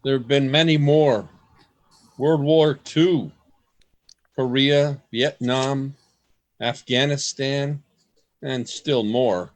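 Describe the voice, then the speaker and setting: A man's voice: medium pitch, steady tone, takes it slowly, pauses often, lightly acted. An actor playing a man from the present, speaking plainly about history in an amateur radio play. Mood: sober. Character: man from the present, sober and knowing.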